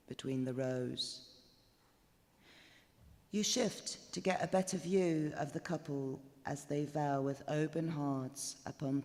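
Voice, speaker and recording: Male storyteller, very slow pace, deliberate, dramatic, ghost story reading, long reverb. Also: fairly high pitch, a little mellow. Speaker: story narrator. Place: indoors, stone chapel. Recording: reverberant chapel live recording.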